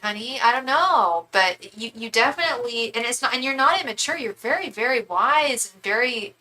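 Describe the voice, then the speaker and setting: A woman's voice: a little high, sharp tone, rough recording. A woman in her thirties giving a live tarot reading, chatty and rambling, with many asides and restarts. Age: 30s. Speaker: tarot reader.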